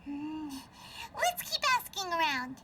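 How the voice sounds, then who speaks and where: A woman's voice: sits high, very animated, slow, recorded from a distance, very noisy, heavily acted. A woman voicing a tiny, chirpy, childlike fairy companion who earnestly thinks aloud in a video game. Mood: puzzled. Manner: childlike, talks in third person, thinks aloud. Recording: game audio under clear music.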